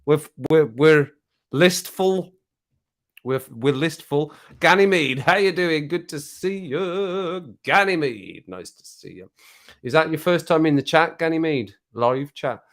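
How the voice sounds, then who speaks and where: A man's voice: a little high, measured pace. Guy chatting to his live stream at home with a drink, jokey, cracks himself up. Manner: chatty, jokey, laughs at his own jokes. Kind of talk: casual chat to a live chat audience. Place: at home, living alone.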